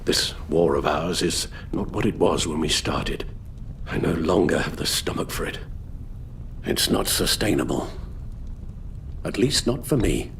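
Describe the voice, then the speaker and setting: Older butler, video game drama. Weary, earnest, formal, very expressive in pitch, guilt in every phrase. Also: male voice, unhurried, vocal fry, pauses often, slight background noise, heavily acted. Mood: weary, resigned. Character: weary elderly male butler, guilt-ridden, grave, fatherly.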